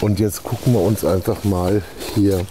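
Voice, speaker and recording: A man's voice: on the low side, steady tone, moderate pace, warm tone. A man chatting casually on location outdoors, explaining things, repeating himself and often checking for agreement. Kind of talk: casual on-location commentary in conversation. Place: outdoors in woodland on soft ground. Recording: outdoor field recording with background noise.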